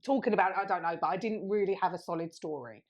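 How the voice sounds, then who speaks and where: A woman's voice: on the low side, quick pace. A woman in her thirties co-hosting a podcast, telling a rambling story in casual chat and laughing often. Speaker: podcast co-host.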